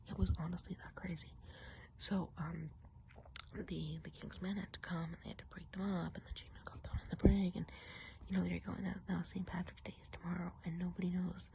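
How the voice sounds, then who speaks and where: A woman whispering softly and breathily in a chatty, confiding ASMR roleplay as a gossipy witch of the woods. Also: lowish voice, gravelly, low-quality recording. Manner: confiding, unhurried, casual gossip. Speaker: ASMR performer.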